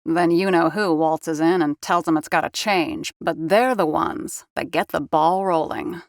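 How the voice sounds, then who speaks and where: A wry woman on a theater crew in an audio drama, teasing her coworkers. Her voice sits low and sounds dry and close. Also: a little slow, dry recording.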